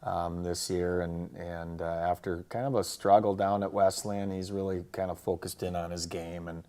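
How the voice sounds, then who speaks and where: A man who coaches football, casually recapping a game and its highlights in colloquial coaching slang. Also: little pitch movement, moderate pace, dark tone.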